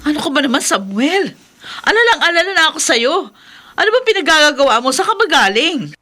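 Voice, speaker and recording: Shrill, swooping, suspicious scolding wife. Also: female voice, big pitch swings, brisk, heavily acted. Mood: exasperated. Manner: sharp scolding. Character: nagging, suspicious, exasperated wife. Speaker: radio drama actor. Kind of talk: Filipino radio drama acting.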